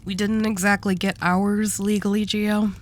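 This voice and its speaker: A woman's voice: mid-range voice, level tone, unhurried, lightly acted. A woman playing a dominant, seductive mafia boss in a roleplay audio drama, teasing and possessive with a coaxing menace. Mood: calm, calculating.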